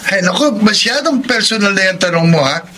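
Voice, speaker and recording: Philandering Filipino husband for radio drama: high-pitched, blustering, defensive, over a noisy track. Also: male voice, lively intonation, fairly quick. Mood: dismissive. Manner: dismissive, blustering, evasive.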